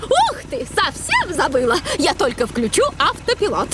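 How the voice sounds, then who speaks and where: High-pitched cheerful cartoon rescuer. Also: female voice, wildly swooping pitch, moderate pace, very noisy. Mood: eager. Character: brisk, cheerful female animal rescuer. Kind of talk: children's cartoon dub. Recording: loud music and engine sounds behind.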